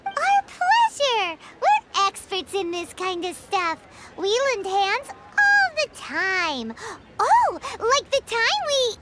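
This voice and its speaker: A woman voicing a tiny, chirpy, bossy fairy companion in a video game, with an excitable childlike manner. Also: very high voice, slow, heavy background noise, heavily acted. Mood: eager, pushy. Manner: excitable, refers to self in third person.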